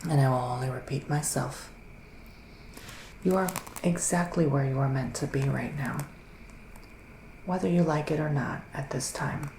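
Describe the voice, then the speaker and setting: A man performing a soft-spoken, often whispered ASMR roleplay as a psychic witch, musing in a hesitant, drawn-out, mystical way. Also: medium pitch, creaky, echoey room, a little hiss or hum, rough recording. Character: psychic male witch giving a mystical reading.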